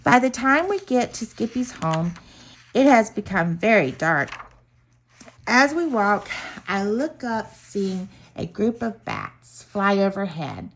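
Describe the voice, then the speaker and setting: Female storybook reader, mid-pitched and highly animated, expressive delivery for kids. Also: slow pace, slight background noise, poor audio. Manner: expressive, acts out dialogue. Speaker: author reading own children's book. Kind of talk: children's storybook read-aloud.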